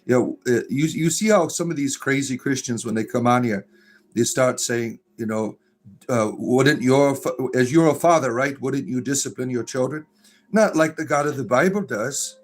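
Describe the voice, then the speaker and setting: A middle-aged man from Florida chats with his viewers, greeting them one by one. His pitch sits in the middle of the range, and his talk wanders, slows and restarts. Faint music plays behind him. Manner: rambling, full of fillers and restarts, drawn-out narrator delivery. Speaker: livestream host. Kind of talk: casual livestream chat reading viewer comments.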